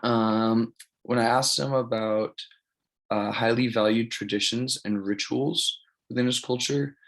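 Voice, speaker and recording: A man's voice: mid-range voice, fairly even intonation, a little slow, a bit bright, poor audio. A man, giving an informal spoken presentation in a plain, matter-of-fact, casual way. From California, United States. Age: early 20s. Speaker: college student presenting an assignment.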